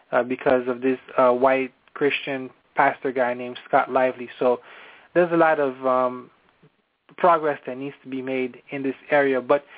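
A man hosting an internet call-in radio show, talking casually with many fillers and restarts. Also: medium pitch. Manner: hesitant, many fillers and repeated words. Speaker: show host. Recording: tinny phone-line audio.

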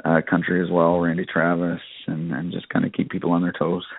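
Low-pitched Canadian man over a phone-like line. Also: completely flat delivery, a little fast, no echo. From Nova Scotia, Canada. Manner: rambling, conversational, peppered with "you know". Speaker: country singer, interview guest. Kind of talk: casual interview talk. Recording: narrow phone-like band.

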